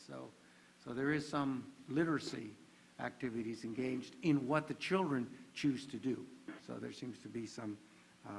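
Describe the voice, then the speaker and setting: Gravelly male panel moderator. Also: medium pitch, a little hiss or hum, low-quality recording.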